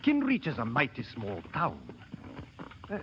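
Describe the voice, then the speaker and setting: An actor playing a canny, folksy Scottish village cart driver in 1930s radio style. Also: male voice, mid-range voice, huge pitch swings, measured pace. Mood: musing, doubtful. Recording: noisy, muffled 1930s radio broadcast.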